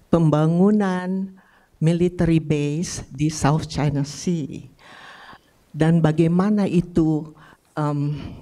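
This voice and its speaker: Mellow-voiced Indonesian woman, formal question. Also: low-pitched. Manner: polite and formal, with "eh" and "em" pauses. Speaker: audience member asking a question.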